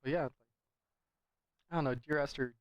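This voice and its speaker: A man's voice: medium pitch, fairly even intonation, brisk, clear, resonant tone, dry recording. A man giving casual, rambling commentary over a video game in a conversational, hedging way. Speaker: gameplay commentator.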